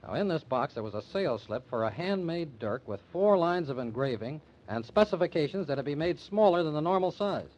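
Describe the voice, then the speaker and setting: Fast-talking, earnest young man. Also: fairly high pitch, animated, a little mellow. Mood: insistent. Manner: intense, assertive, explanatory. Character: earnest, confident young man clearing his name. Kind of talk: old-time radio drama acting. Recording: dull old radio drama recording.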